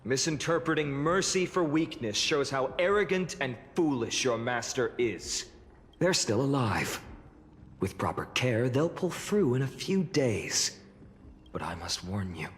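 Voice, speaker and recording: An actor playing a righteous, sternly protective young warrior lord in a fantasy game, speaking in an earnest, formal, firm manner. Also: male voice, medium pitch, animated, measured pace, in a large hall, close to an everyday voice. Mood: indignant, then stern warning. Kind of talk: dramatic fantasy game voice acting.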